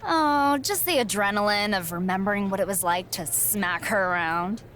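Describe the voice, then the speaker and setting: A woman's voice: medium pitch, moderate pace, fairly bright tone, lightly acted. A woman playing a cocky, self-assured champion wrestler in a video game cutscene, with sarcastic delivery. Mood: mocking.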